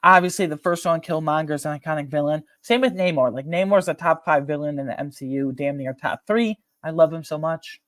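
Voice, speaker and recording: Casual movie-ranking host: mid-pitched, conversational, slangy, emphatic and opinionated, recorded dry. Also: fairly quick, few pauses, fairly bright tone, no room sound. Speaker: video host giving a film ranking.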